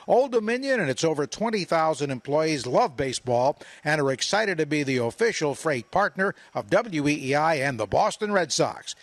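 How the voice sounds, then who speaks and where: A male announcer reads scripted ad copy in a fairly high voice. His pitch moves a lot, and the studio sound is very dry. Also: a bit bright, no echo. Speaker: advertisement announcer. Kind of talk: scripted radio ad read.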